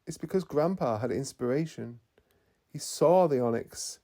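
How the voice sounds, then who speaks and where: Man voicing a humble young craftsman, mellow tone. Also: mid-range voice, normal speed, voice pushed up, close to an everyday voice. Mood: wistful. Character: downcast young male craftsman, humble and self-doubting.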